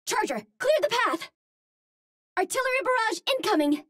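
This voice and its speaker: Very high-pitched cute young space heroine. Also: female voice, animated, normal speed, fairly bright tone, dry recording, studio quality. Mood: alarmed, urgent. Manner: precise, formal phrasing without contractions, earnest and polite. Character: cute, earnest young female Martian space hero. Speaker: voice actor in a hero shooter game. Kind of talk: video game character voice lines.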